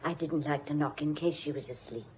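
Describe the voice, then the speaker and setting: An actress as a worried British secretary in a radio play. Her lowish voice is hesitant and guarded. The recording is dull with clear background noise. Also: brisk, a little mellow, close to an everyday voice. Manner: hesitant, deferential, fretful. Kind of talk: British radio drama acting.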